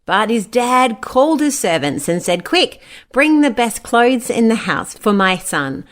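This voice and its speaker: Lively, expressive woman storyteller. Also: a little high, measured pace. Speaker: storyteller, a mother. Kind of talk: expressive scripted children's Bible story narration.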